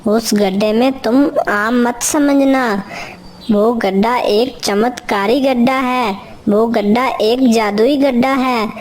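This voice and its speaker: A woman voicing a sly, lazy male crow in a children's cartoon, with a coaxing, explanatory way of talking. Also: fairly high pitch. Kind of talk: children's cartoon character dialogue.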